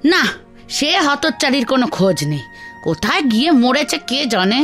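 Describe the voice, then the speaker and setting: A woman voicing a sharp-tongued, weary village wife in a cartoon, scolding and complaining theatrically. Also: high-pitched, big pitch swings, normal speed, soft background music. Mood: irritated, scolding. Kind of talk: Bengali children's cartoon dialogue.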